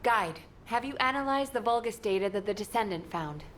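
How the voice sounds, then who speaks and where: A woman's voice: mid-range voice, expressive, fairly quick, fairly bright tone, a little hiss or hum, close to an everyday voice. A woman playing a composed, authoritative military squad leader in a video game. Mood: brisk, formal.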